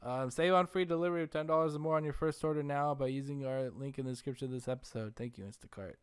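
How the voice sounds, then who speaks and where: A man's voice: medium pitch, fast talker, smooth. A man hosting a podcast in a casual, conversational style, chatting and reading sponsor spots. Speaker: podcast host. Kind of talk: casual chat and ad reads.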